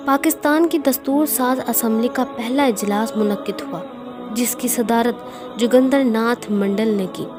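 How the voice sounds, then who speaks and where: A woman narrating a scripted historical speech in a formal, declamatory manner. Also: a little high. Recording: music behind the voice. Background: clear background music.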